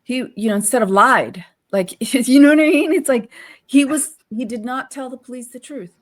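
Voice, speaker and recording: A woman's voice: high-pitched, moderate pace. A woman co-hosting a casual legal discussion, thinking aloud in a tentative way. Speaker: co-host discussing law.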